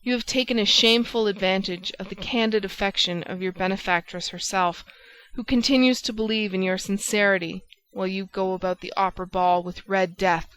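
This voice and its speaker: A woman's voice: mid-range voice, fairly even intonation, fairly quick, hardly any pauses, fairly bright tone, close to an everyday voice, rough recording. Woman voicing a jealous young lover. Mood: bitter reproach. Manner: angry, accusing outbursts.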